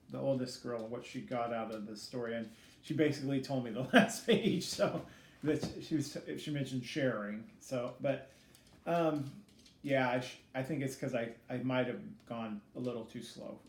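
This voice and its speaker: A middle-aged man giving casual, reflective interview answers in a hesitant, halting way, as a student teacher being interviewed. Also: medium pitch. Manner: hesitant, halting, self-critical. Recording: echoey room with faint background noise.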